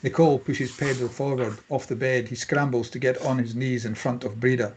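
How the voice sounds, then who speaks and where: A man with a husky voice reading scene headings and stage directions as scripted narration at a table read. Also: medium pitch, fairly even intonation, brisk, darkish tone, a little hiss or hum.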